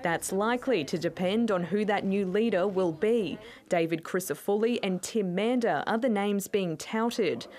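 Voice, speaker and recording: A woman's voice: mid-range voice, moderate pace, darkish tone. An Australian woman narrating a scripted television news report as a reporter.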